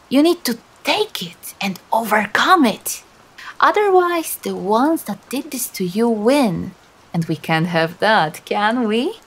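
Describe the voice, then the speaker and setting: A woman voicing a teasing but sympathetic female demon in an intimate ASMR roleplay, coaxing softly with dramatic hesitations. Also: mid-range voice, gravelly, slightly rough, heavily acted.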